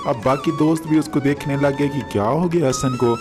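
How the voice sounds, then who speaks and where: A man's voice: a little high, normal speed. A man narrating a moral story for children as a storyteller. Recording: music behind the voice.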